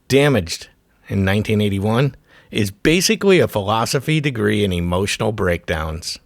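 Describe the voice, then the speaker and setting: A man's voice: fairly low pitch, gravelly, no echo. Man narrating in punchy phrases, dry close sound. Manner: punchy clipped phrases, irreverent and profane. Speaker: documentary narrator and host. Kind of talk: scripted music documentary narration.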